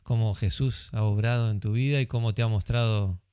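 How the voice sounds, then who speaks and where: A man's voice: lowish voice, steady tone, brisk, dark tone, smooth, no room sound. A man with a Uruguayan Spanish accent hosting a podcast in a studio, talking in a chatty, friendly way with many asides. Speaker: podcast host. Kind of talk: casual chat.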